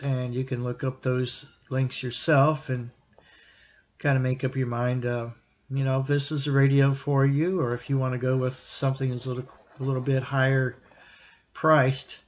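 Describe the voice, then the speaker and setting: A man with a mid-pitched voice talks through a product recommendation as a hobbyist reviewer. He explains things informally and thinks aloud as he goes. His phrasing is halting and broken into short pieces. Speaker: YouTube radio hobbyist and reviewer.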